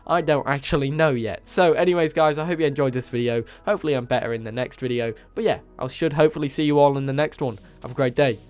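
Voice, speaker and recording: A man with a cold, sounding hoarse and nasal, enthusiastically narrating a game mod showcase as host of his channel. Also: mid-range voice, quick pace, few pauses, darkish tone. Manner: enthusiastic, casual, repeats praise. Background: faint music.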